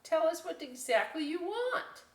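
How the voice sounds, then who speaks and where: A woman's voice: very high voice, sing-song, unhurried, voice pushed up, full character voice. A woman voicing anxious, insistent young animal pupils in a children's storybook. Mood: anxious, questioning. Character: anxious young night-school pupils, questioning and pleading. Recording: echoey room, distant microphone.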